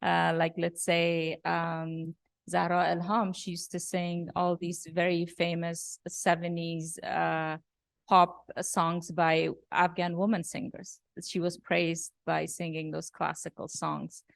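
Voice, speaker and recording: Low gravelly woman, flat delivery, dry sound, director at a Q&A, many fillers. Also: slow, no room sound. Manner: thoughtful, many fillers and restarts. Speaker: documentary director. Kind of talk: post-screening Q&A talk.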